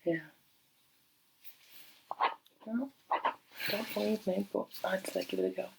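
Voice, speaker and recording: A middle-aged woman speaking softly in an ASMR doctor role play, breathy and often slipping into a whisper, gentle and unhurried, close to the microphone. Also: mid-range voice, heavy background noise. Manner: gentle, unhurried, hesitant pauses. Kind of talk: soft-spoken ASMR medical role play.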